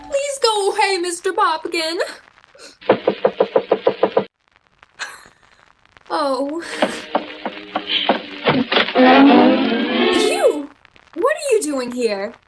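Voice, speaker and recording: A woman's voice: very high voice, sing-song, takes it slowly, loud music behind the voice, exaggerated voice, rough recording. A woman playing a prim, flustered young shop clerk in an old-time radio romance. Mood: agitated.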